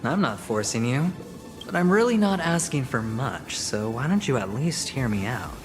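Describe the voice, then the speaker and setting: A man's voice: medium pitch, big pitch swings, moderate pace, lightly acted. Male actor for scheming young negotiator in a story-driven game. Suave, showy, persuasive delivery over prominent music. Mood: persuasive, calculating. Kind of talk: video game story dialogue.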